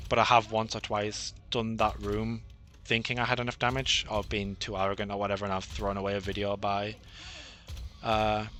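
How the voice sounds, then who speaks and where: Male gameplay commentator, fairly low-pitched, bright and forward tone, monotonous and rambling, self-deprecating. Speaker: gaming channel host.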